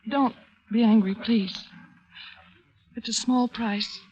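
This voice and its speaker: A woman playing a wealthy, troubled, elegant woman, confiding in a halting, dramatic 1940s radio manner. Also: on the high side, fairly even intonation, a little mellow. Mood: confessional, intense. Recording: muffled, noisy 1940s radio recording.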